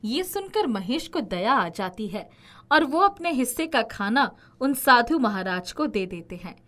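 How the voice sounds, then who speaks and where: A woman's voice: on the high side, big pitch swings, measured pace, fairly bright tone. A woman narrating a scripted children's story as the narrator.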